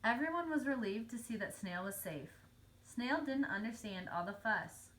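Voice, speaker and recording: Bright, high-voiced woman reading a storybook. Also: expressive, normal speed, slight background noise, rough recording. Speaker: storybook reader. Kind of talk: children's read-aloud narration.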